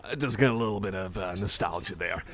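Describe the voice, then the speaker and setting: A man's voice: low-pitched, fast, fairly bright tone. A man voicing a shy, nerdy, eager cyborg card collector in an ASMR roleplay, chatting casually in a hesitant, rambling, apologetic way. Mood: nerdy enthusiasm. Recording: cafe crowd murmur behind the voice.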